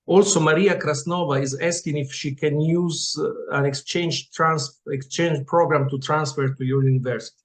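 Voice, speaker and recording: A man's voice: on the high side, fairly even intonation, moderate pace. A man moderating an online session, reading out audience questions politely. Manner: polite, stumbles over names.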